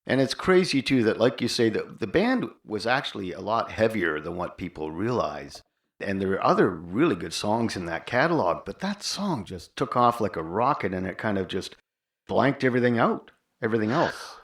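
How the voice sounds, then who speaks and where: A man's voice: on the low side, big pitch swings, normal speed. A man hosting a podcast and interviewing a guest conversationally.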